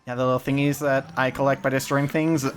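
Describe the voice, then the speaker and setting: Young adult gamer, mid-pitched, casual. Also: little pitch movement, fast, soft background music. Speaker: let's play player and commentator. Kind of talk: casual chat and anecdotes.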